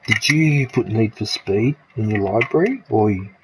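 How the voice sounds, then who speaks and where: A man's voice: on the low side, normal speed. A man in his fifties, chatting and rambling with friends while gaming, often trailing off mid-sentence. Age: 50s. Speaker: gaming channel host. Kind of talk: casual chat while gaming. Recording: steady background noise.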